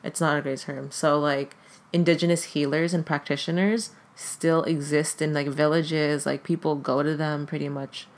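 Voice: mid-range voice, little pitch movement, normal speed. A podcast guest whose first language is Tagalog, talking casually in a hesitant way and filling nearly every phrase with "like.". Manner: hesitant, heavy use of "like" fillers. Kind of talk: casual conversation.

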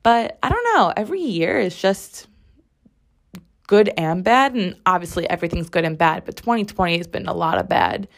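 Hoarse woman rambling on her podcast. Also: on the low side, lively intonation, moderate pace. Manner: rambling, emphatic, swears freely. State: hoarse, strained voice. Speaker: podcast host. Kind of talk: casual solo podcast chat. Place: bathroom at home.

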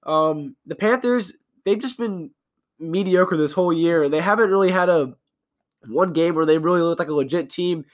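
A young man co-hosting a casual sports podcast, a little raspy from a cold, talking loosely with fillers. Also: a little high. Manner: quick, loose talk with fillers. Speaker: podcast co-host.

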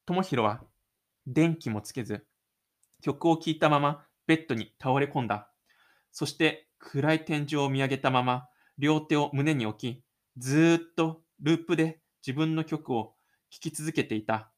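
A man hosting his own audio drama show, narrating and chatting gently. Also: a little high, normal speed. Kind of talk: audio drama narration and casual host chat.

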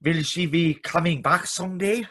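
An actor playing a sweet, chatty, genial old clockmaker in a vintage-style radio play. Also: male voice, on the high side, a bit bright, husky. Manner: genial, chuckling, deliberate shopkeeper politeness. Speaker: actor in live radio theatre.

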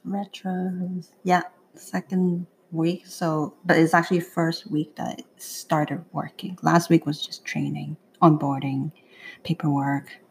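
A woman's voice: sits low, little pitch movement, slow pace, slight background noise, poor audio. A young woman co-hosting a casual podcast, laid-back and teasing. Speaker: podcast co-host.

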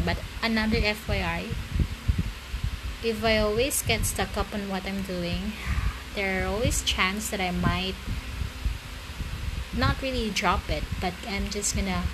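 A woman who speaks as a talks slowly and unhurriedly to her listeners late at night. She rambles and restarts her sentences, sounding self-conscious about how she speaks. A loud, steady background noise runs under her voice. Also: mid-range voice. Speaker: amateur story writer and reader.

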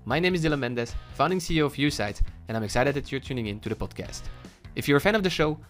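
Brisk man reading a show intro. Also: mid-range voice, lively intonation, soft background music. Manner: brisk, upbeat read. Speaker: podcast host.

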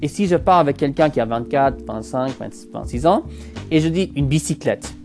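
A man's voice: medium pitch, animated, normal speed, creaky, a little mellow, faint music behind. Hesitant young Canadian man, rambling podcast. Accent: Parisian-style French learned in France. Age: 20s. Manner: rambling, hesitant, often restarts phrases. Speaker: podcast host. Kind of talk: casual solo podcast monologue.

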